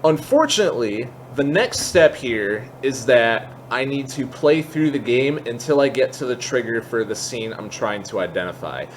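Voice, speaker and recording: Man giving a software tutorial, noisy background. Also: medium pitch, expressive, moderate pace, hardly any pauses. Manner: step-by-step instructional, informal. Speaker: tutorial host. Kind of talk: casual screen-recorded software tutorial, explanatory.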